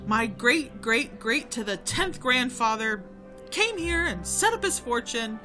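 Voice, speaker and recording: A woman playing a haughty, sharp-tongued, defensive podcaster in an audio drama. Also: fairly high pitch, expressive, unhurried, music in the background. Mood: confessional.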